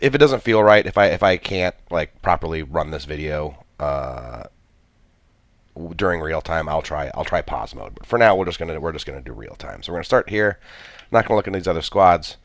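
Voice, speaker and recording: A man's voice: rumbling low, lively intonation, brisk, no room sound. A man with a stuffy cold, commenting on a strategy game he plays, thinking aloud with many restarts. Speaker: gameplay commentator.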